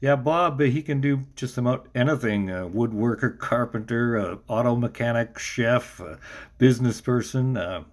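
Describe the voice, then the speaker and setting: A middle-aged man with an average-pitched voice hosts a podcast interview. His delivery is conversational and informal, with a wry, joking streak. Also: normal speed. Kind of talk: casual, conversational podcast hosting.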